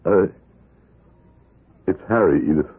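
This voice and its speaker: An actor playing a bitter, hopeless fifty-year-old convict in a radio drama, with terse, deadened delivery. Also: male voice, low-pitched, slow, husky, full character voice. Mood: hesitant. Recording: muffled, tinny old radio broadcast.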